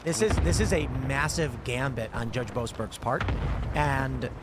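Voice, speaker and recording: A man's voice: medium pitch, lively intonation, very noisy. A man hosting a casual political talk show, reading news aloud and often restarting his sentences. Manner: halting, many restarts. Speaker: podcast host.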